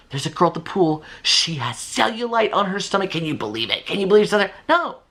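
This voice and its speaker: A woman in her fifties talking casually and candidly in a motivational vlog, emphatic and given to acting out remarks. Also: mid-range voice. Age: 50s. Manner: animated and emphatic, acts out imagined remarks; candid, conversational. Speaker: video creator and channel host.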